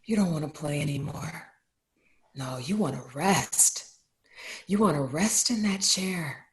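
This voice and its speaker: A woman's voice: low voice, lively intonation. A woman acting a tough doctor who pushes and challenges like a coach, in a table read. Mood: taunting. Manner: challenging, insistent, measured.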